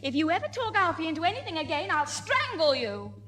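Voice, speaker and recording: An actress playing a bossy, scornful teenage big sister in a 1970s radio sitcom, indignant and scolding. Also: female voice, very high voice, very animated, fast, noisy background. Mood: threatening.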